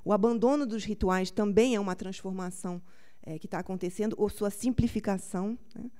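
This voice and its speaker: Woman researcher talking at a panel, mellow voice, slow and careful with lots of uhs. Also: mid-range voice. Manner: measured, with frequent fillers. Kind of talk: academic panel introduction.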